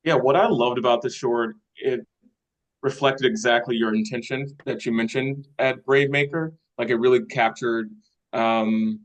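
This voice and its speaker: Medium-pitched man giving casual feedback. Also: steady tone, unhurried, poor audio. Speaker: participant asking questions and giving feedback. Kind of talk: casual conversation. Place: online video call.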